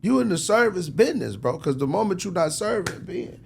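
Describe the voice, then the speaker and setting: A man's voice: high-pitched, sing-song, fairly quick, gravelly, breathy edge. An American man giving casual, emphatic, preachy advice as an interview guest, often checking the listener follows with tag questions. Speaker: music industry executive, interview guest.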